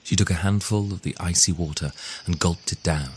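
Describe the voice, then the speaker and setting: A man narrating a fairy tale in a measured, theatrical storytelling manner. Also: low voice, a little hiss or hum. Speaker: storyteller and author reading his own tale. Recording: music under the voice. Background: music.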